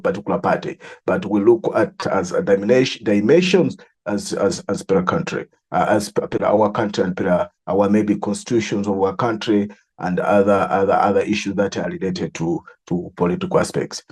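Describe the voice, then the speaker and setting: A middle-aged man with a Malawian accent, explaining his work in an interview in a deliberate way, repeating phrases for emphasis. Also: sits low, fairly even intonation, darkish tone, husky. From southern Malawi. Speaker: religious leader and mediator interviewee.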